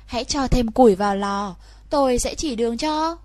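A woman voicing a talking oven that bargains in a measured way in a storybook. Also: a little high, a little mellow, dry recording, natural voice. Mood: measured, bargaining. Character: talking brick oven offering help for a favour. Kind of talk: storybook reading.

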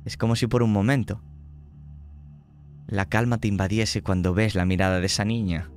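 A man's voice: lowish voice, steady tone, moderate pace, darkish tone, faint music behind. A man narrating a tabletop horror game as game master, describing scenes vividly and dramatically.

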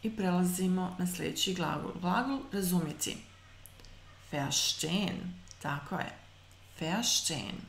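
Woman teaching german on a webcam, slow careful sentences, lowish voice, echoey room with a faint hiss. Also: gravelly. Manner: slow, careful German model sentences, quick asides in Croatian. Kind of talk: online language lesson.

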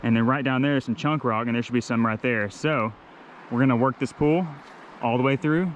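A man's voice: mid-range voice, brisk, highs cut off, a little hiss or hum. A man filming himself wading a creek, chatting casually and explaining where the fish should be. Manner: excitable, explains the spot while fishing. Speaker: fishing vlogger. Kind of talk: casual outdoor fishing commentary. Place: outdoors wading a mountain creek.

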